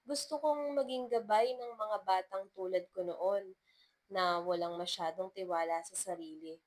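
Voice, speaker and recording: A woman narrator voices a young woman teacher in a romance story. She is modest and earnest, with a polite, bashful way of talking at an everyday middle pitch. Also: unhurried, lightly acted. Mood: sincere.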